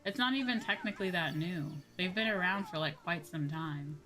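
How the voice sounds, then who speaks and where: Blunt, lower-pitched woman streamer. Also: normal speed, sharp tone, faint music behind. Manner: blunt, swears casually. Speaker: livestream host, VTuber. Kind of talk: casual chat while gaming.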